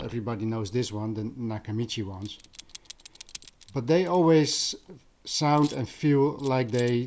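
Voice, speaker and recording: A man with a Dutch accent talks in a relaxed, soft-edged tone. His pace is slow, and he often searches for the next word. It is casual, off-the-cuff vlog commentary from someone at home. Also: mid-range voice. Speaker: vlogger, audio enthusiast. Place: living room at home.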